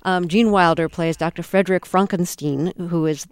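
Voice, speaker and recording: A woman's voice: lowish voice, fairly even intonation, moderate pace, smooth, no echo. Laughing woman host setting up clips. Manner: laughs easily, sets up clips. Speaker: interview host. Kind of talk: interview.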